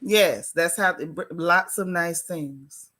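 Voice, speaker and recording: A woman hosting a casual live-stream chat and giving motherly advice, slowing down to stress her points. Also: on the low side, fairly bright tone. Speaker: host.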